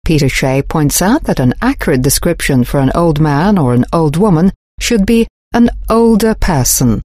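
A woman playing an older female journalist, a polyglot, answering questions in a measured, explanatory way in a scripted textbook dialogue. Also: low-pitched, lively intonation, warm tone, no echo, clean studio sound. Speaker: interviewee.

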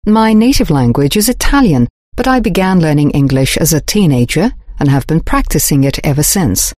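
Woman doing calm older lady journalist, low voice that moves a lot, careful and explaining, dry studio sound. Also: moderate pace, no echo. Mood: measured. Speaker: interviewee. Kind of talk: scripted textbook dialogue.

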